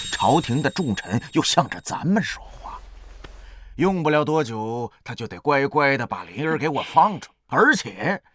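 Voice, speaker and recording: An actor playing an arrogant middle-aged grain merchant in a costume drama, sneering, pompous and cruel to the poor. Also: male voice, medium pitch, a bit bright. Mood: smug, scornful.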